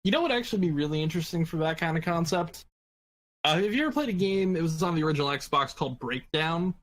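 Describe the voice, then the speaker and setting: A man's voice: fairly high pitch, expressive, fast, a bit bright. A sweary man chatting casually as a panelist in a podcast group discussion.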